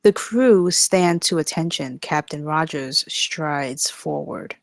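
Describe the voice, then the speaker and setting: A woman's voice: deep voice, animated, takes it slowly, no echo, studio quality. A woman narrating the stage directions of a comedy screenplay at a table read.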